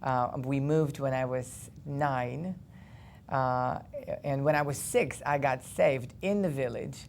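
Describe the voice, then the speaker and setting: A young man speaks English with a gravelly, creaky edge. He tells his story earnestly, picking up speed in animated bursts, with a faint rumble in the background. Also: takes it slowly. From Ukraine. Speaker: guest. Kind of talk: personal testimony in a studio interview.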